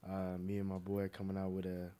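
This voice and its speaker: Deep-voiced young man, far from the mic. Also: monotone, fast talker, dark tone. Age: 20s. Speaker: podcast co-host, music producer. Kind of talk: casual chat.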